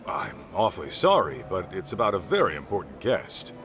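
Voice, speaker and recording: A man's voice: sits low, sing-song, normal speed, a bit bright. An actor playing an easygoing, blunt, streetwise tough guy in a video game, speaking in a casual, offhand way. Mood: politely inquiring. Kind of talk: naturalistic video game voice acting. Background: music.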